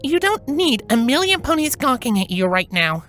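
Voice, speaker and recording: Woman doing a kind young winged pony gal from a story, high animated voice, composed and gentle. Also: moderate pace, soft background music. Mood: earnest. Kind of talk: fan fiction character reading.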